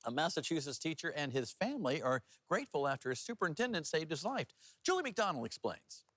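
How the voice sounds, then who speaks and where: A man's voice: on the high side, very animated, quick pace, a bit bright, no echo. A man anchoring a television newscast in a chatty, informal way. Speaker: news anchor.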